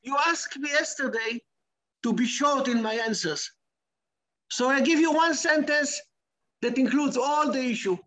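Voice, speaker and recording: A middle-aged man with an Israeli accent giving an online lecture in halting English, full of repeats and restarts, over a video call. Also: very high-pitched, sharp tone, low-quality recording. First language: Hebrew. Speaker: guest lecturer.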